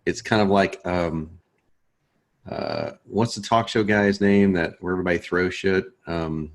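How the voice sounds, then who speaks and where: Man, very low voice, laid-back and joking, course host, video call. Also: steady tone, measured pace. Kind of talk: casual chat before a lesson.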